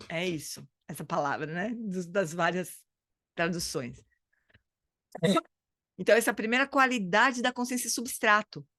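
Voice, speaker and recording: A woman's voice: fairly low pitch, unhurried, long pauses, bright, forceful tone, no echo, studio quality. A woman leading a dharma study group, explaining in a casual, hesitant way and often stopping to search for words. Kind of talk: casual spoken teaching.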